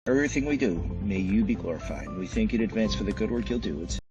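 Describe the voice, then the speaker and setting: A man's voice: fairly low pitch, expressive, fast, highs cut off, over music. A man speaking conversationally as an author and interview guest. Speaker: novelist guest.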